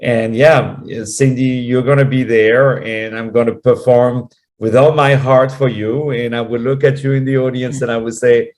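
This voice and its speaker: A man from France with a French accent, talking as a guest on a radio interview, effusive and full of quick restarts. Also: medium pitch, level tone, a little mellow.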